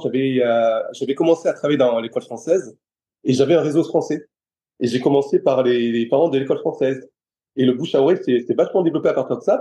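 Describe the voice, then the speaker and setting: A middle-aged man from France, talking casually as a guest about his work as a trainer. His voice is mellow and warm at a middle pitch. He halts often, repeats words and fills gaps as he thinks aloud. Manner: hesitant, many restarts and fillers. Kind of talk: casual interview chat.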